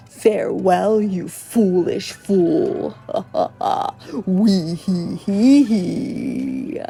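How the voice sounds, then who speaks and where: A woman podcast host narrating a video game as a dramatic reading, in an expressive, theatrical way. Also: sits high.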